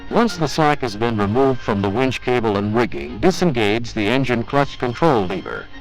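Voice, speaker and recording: A man's voice: medium pitch, sing-song, normal speed, poor audio. A man reading scripted instructional narration for a training film in a formal, methodical, step-by-step manner. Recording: 1980s training film soundtrack with music.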